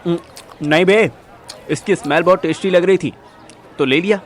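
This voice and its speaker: A man's voice: high voice, normal speed, some chatter behind, close to an everyday voice. An actor playing a cheeky, carefree young man in an audio drama, teasing and slangy. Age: young adult.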